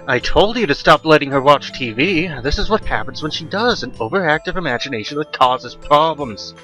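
A man's voice: medium pitch, normal speed, sharp tone, faint music behind, lightly acted, poor audio. A man playing an irritable, dismissive father in his forties in a horror audiobook. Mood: irritated. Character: irritable, dismissive forty-six-year-old father. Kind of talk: audiobook horror story character voice.

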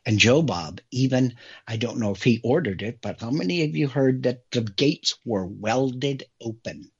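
An American man hosts a political talk show in a husky, unclear voice. He speaks emphatically to his listeners, posing rhetorical questions and pausing for weight. The audio is slightly dull and lo-fi. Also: mid-range voice, expressive. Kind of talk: opinionated monologue to listeners.

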